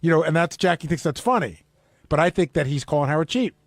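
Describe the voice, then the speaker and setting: A man's voice: on the high side, lively intonation, fast, no echo, studio quality. A man co-hosting a radio show in casual, opinionated talk.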